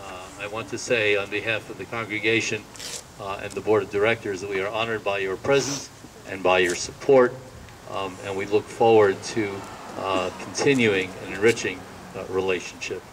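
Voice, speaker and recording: A man acting as master of ceremonies makes semi-formal introductions, halting and hesitant, with many ums. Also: on the low side, fairly even intonation, far from the mic, noisy background.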